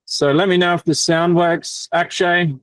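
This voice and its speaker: A man walks through a technical demo on a video call, stopping and restarting often. His voice sits a little high. The sound is dry and close, with almost no room echo. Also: level tone, fairly bright tone. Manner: halting, many fillers and restarts. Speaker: workshop presenter. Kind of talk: casual technical explanation.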